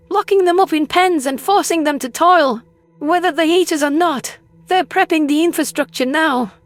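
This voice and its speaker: A woman's voice: very high voice, no room sound. A woman playing a traumatized, bitter female alien historian in an audio drama, agitated and intense, prone to stammering. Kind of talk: dramatized audiobook character acting.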